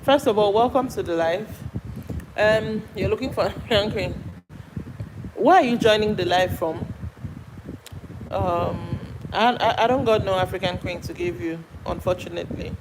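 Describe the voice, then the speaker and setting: Woman, Nigerian accent, live stream host, chatty, greets viewers, answers comments, medium pitch, noisy traffic background. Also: normal speed. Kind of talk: casual live chat reading viewer comments.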